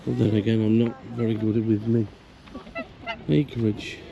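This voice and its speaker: A man narrating a casual walk around a park fishing lake, croaky from illness, chatty and grumbling about the council. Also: lowish voice, little pitch movement, measured pace, dark tone, lo-fi sound. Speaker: angler vlogger. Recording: outdoor camera audio with faint background noise.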